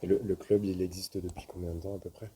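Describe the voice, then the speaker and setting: A man interviewing a couple, asking halting, gentle prompting questions. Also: low voice, level tone, a little hiss or hum.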